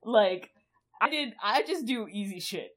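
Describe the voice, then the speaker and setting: A woman's voice: mid-range voice, animated, takes it slowly, fairly bright tone, husky. A young woman co-hosting a podcast, chatting casually in a rambling way, laughing often and swearing freely. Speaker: podcast co-host.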